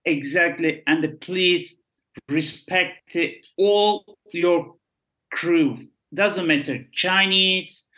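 Turkish guy, middle aged, pleads earnestly in broken english, highish bright voice. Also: drawn-out words. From Turkey. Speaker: guest, former cruise ship staff. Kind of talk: casual interview chat.

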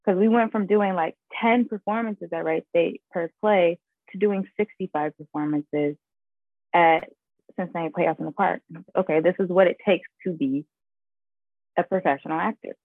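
Young actress chatting away in an interview, low gravelly voice, sounds like a phone call, laughs a lot. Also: female voice, normal speed, dry recording. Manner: animated storyteller, laughs often, says "like" a lot. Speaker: guest actress. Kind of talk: casual interview chat.

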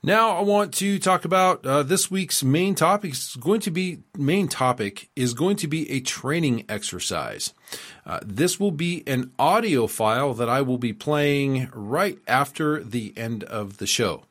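A man's voice: medium pitch, expressive, unhurried, fairly bright tone. A man with a middle-range voice hosts a radio show. He speaks informally and instructionally, in an earnest, explanatory manner.

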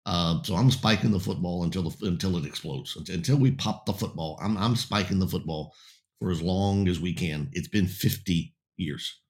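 Very deep-voiced man, hoarse and halting. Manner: halting, many fillers and restarts; blunt and emphatic. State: losing his voice, getting raspy. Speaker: host. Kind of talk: casual opinion talk show.

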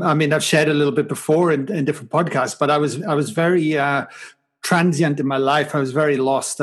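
Welsh guy in his 40s, mild accent, slightly higher voice, chatty and reflective, lots of restarts. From Wales. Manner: reflective, self-deprecating, many restarts. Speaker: guest. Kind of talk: casual interview chat.

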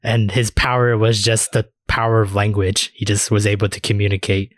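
A man's voice: lowish voice, monotone, moderate pace, no room sound, clean studio sound. A man hosting a casual anime discussion podcast, chatting informally with plenty of filler words and swearing. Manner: informal, profanity-laced, filler-heavy talk. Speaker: podcast host.

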